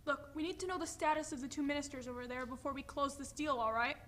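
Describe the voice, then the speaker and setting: A woman's voice: high-pitched, quick pace, a bit bright. A woman playing a brash, impatient, foul-mouthed kidnapper leaving a phone message in a staged play reading. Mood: impatient, irritated.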